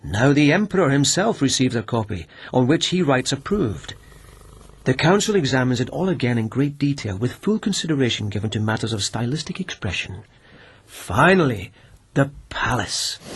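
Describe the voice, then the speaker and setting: An actor in a radio drama playing a gentle, lovelorn police lieutenant, speaking in a deliberate, wry, musing way. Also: male voice, medium pitch, animated, breathy edge. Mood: measured, explanatory.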